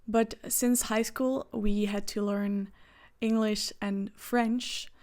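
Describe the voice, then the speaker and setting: Young Belgian woman with flat delivery. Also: medium pitch, takes it slowly. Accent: Belgian Dutch. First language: Dutch. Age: 20s. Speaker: vlogger. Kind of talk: personal storytelling to camera.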